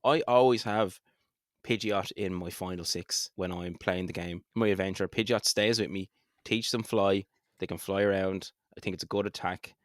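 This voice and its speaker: A man's voice: deep voice, steady tone, normal speed, no echo, clean studio sound. An Irish man co-hosting a podcast, casually guiding a game playthrough in an easygoing way and repeating short phrases. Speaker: podcast co-host.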